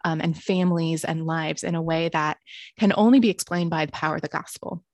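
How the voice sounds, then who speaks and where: A woman in her thirties, a ministry leader and author, talking about her life in a reflective, earnest way with soft laughs. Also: low-pitched, fairly even intonation, a little fast, no room sound. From born in Greece, raised in the United States and Romania. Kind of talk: casual interview conversation.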